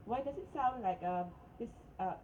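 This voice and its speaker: A woman blogger asking a question at a press conference, hesitant and halting. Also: on the high side, expressive, narrow, tinny audio, slight background noise.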